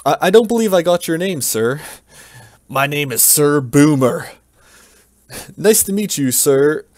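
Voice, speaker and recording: A man's voice: on the high side, lively intonation, unhurried, darkish tone, dry recording, studio quality, pitched above the performer's own voice. A polite young male adventurer, as a man telling a story puts him on, speaking with stiff, old-fashioned courtesy. The voice sits well above a plain speaking voice. It sounds like an earnest storybook hero. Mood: courteous.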